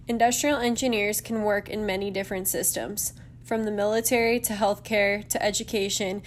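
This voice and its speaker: Woman presenting a lesson, some background noise. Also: mid-range voice. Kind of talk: scripted lesson narration over slides.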